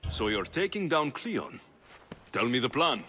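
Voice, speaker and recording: An actor playing a blunt, wry, defiant young Greek mercenary hero in a video game. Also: male voice, medium pitch, sing-song, a little slow, sharp tone, a little hiss or hum. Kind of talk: video game cutscene acting.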